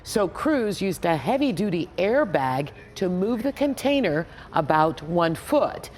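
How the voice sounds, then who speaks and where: An American woman with a lowish voice anchors the news. She delivers scripted stories in a broadcast style. A faint noise sits behind her. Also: very animated, measured pace, darkish tone.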